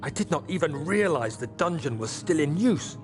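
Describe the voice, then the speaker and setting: A man's voice: a little high, lively intonation, normal speed, natural voice. An actor voicing a frightened young man reading his own diary entries in a game. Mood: anxious. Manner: quiet, shaken diary narration. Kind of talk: video game diary narration. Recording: game audio with music behind.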